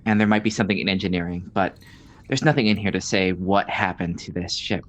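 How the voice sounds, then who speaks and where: A woman's voice: very deep voice, steady tone, brisk. A woman playing a tabletop roleplaying game, talking casually in and out of character. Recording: dull lo-fi microphone.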